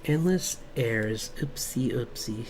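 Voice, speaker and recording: Man, mid-pitched voice, casual streamer chat, halting and rambling delivery, background music. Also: lively intonation, rough recording. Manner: halting, rambling, chuckling asides. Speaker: streamer and comic artist. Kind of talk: casual livestream chat while drawing.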